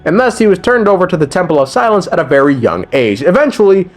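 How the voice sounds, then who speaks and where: Male narrator, higher-leaning voice, animated and irreverent, casual scripted recap style. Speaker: video narrator recapping game lore.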